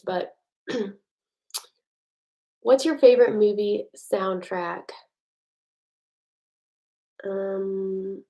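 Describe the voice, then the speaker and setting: Chatty American woman hosting a livestream. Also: mid-range voice. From United States. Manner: chatty, self-deprecating, reads viewer comments aloud. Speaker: livestream host. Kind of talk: casual live chat with viewers. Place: home at night.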